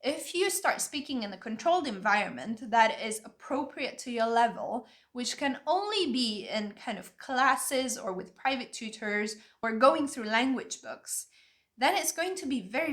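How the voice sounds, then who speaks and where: A woman's voice: a little high, expressive, moderate pace, fairly bright tone. A young woman whose first languages are Vietnamese and Hungarian, talking to camera in an earnest, opinionated way as she explains step by step. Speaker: language-learning video creator. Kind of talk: talking-head advice video.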